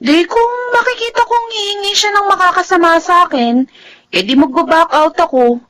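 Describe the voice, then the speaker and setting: A Filipino woman playing a hopeful, trusting and stubborn young student in an expressive radio drama style. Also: extremely high pitch, moderate pace. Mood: eager, reassuring.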